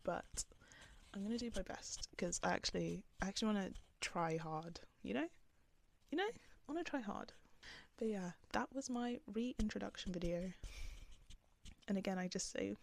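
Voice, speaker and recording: A young woman talking casually to camera in a calm, soft voice, rambling with lots of "like"s. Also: mid-range voice, moderate pace, creaky. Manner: rambling, filler-heavy with many "like"s. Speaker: vlogger. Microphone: camera on the speaker.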